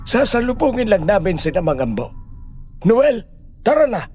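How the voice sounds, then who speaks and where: A man's voice: high voice, sing-song, normal speed, darkish tone, soft background music. A man playing a stern, grave village father who gives orders and warnings in a Filipino horror audio drama. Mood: grave, commanding. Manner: commanding, grave.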